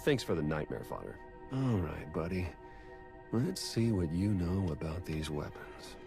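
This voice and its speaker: Sardonic male soldier sidekick, deep-voiced, wisecracking, video game delivery over music. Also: big pitch swings, a little slow, vocal fry, heavily acted. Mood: wry, casual. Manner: dry, wisecracking. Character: laid-back, wry soldier ally, a sardonic man.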